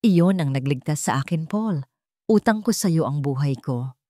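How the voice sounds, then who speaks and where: A woman voicing a gentle, forgiving woman executive who speaks sincerely and tenderly in an audio romance drama. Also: low-pitched, animated, moderate pace, no room sound, studio quality. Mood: grateful, heartfelt.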